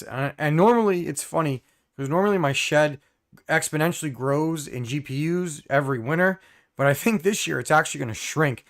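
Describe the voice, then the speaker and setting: A hoarse young man hosting a casual live stream, reading viewer comments with many fillers and throat clearing. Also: fairly high pitch, a bit bright.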